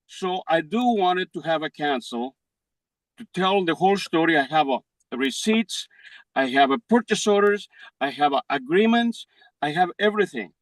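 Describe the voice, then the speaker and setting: Older Greek man, halting, pleading. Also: a little high, animated, dry recording, low-quality recording. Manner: halting, broken English with restarts. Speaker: defendant in court. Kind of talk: pleading to a judge. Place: courtroom.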